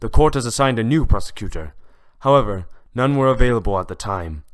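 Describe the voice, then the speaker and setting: A man's voice: mid-range voice, animated, brisk, close to an everyday voice. A man playing a stern, formal authority figure in an amateur courtroom audio drama. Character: stern, formal male judge and gruff prosecutor.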